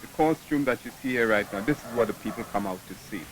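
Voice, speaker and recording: A man's voice: mid-range voice, fast. Earnest man interviewed in a noisy backyard. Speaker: costume maker. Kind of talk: casual interview. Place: backyard outdoors. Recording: outdoor field recording with noise.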